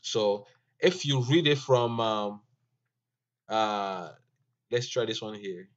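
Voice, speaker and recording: Man, native Ewe speaker, language lesson, low voice, unhurried and hesitant, dull lo-fi recording. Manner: slow, halting, many fillers and restarts. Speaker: teacher.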